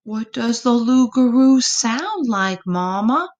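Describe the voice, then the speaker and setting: A woman's voice: on the high side, animated, slow pace, highs cut off, voice pushed up. A woman voicing an innocent, curious little boy possum in a storybook, wide-eyed and full of questions.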